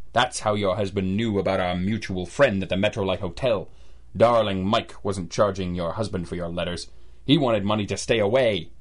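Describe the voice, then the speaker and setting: An actor playing a stern, cynical private detective in an old-style radio drama, with clipped, wry, accusing delivery. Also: male voice, lowish voice, lightly acted. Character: hard-boiled, cynical adult male private detective, stern and relentless.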